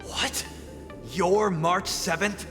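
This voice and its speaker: A man's voice: high-pitched, steady tone, drawn-out words. A man voicing a proud veteran swordsman knight in a game cutscene, speaking formally and sternly. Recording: reverberant mix with game music.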